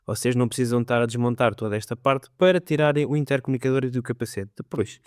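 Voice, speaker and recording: A man's voice: medium pitch, fairly even intonation, fast talker, clear, resonant tone, dry recording, studio quality. A man with a European Portuguese accent, chatting casually to his viewers as he reviews gear and rides a motorcycle. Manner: chatty, addresses viewers directly. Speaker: motovlogger. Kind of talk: casual product review vlog. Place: riding a motorcycle outdoors on the road. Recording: loud wind and road noise.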